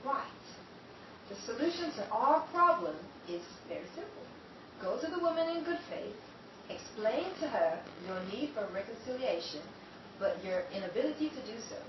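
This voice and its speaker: An actress playing a haughty, sardonic sister-in-law who speaks with cool superiority in a 1930s-style radio drama. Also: female voice, fairly high pitch, sing-song, moderate pace, echoey room, distant mic, muffled recording, noisy background.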